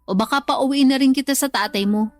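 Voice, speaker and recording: Woman as domineering Filipino mother, high voice, sharp-tongued scolding, selfish, radio drama, soft music behind. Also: a little fast, close to an everyday voice. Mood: cold, threatening. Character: harsh, selfish, money-minded mother scolding her grown child. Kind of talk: Tagalog radio drama acting.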